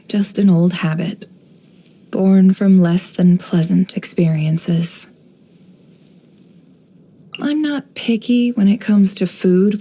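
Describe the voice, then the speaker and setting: A woman voicing a cool, shrewd, guarded young woman in a video game, composed and wry, with measured, knowing delivery. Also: mid-range voice, mellow, rough recording. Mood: matter-of-fact, guarded. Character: cool, shrewd, guarded young desert-born woman running an information business. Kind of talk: scripted game character voice lines.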